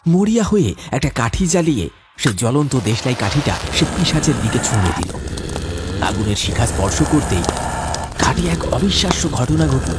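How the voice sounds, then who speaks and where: A man telling a scripted horror story as a dramatic narrator. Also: medium pitch. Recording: clear background noise, ambience.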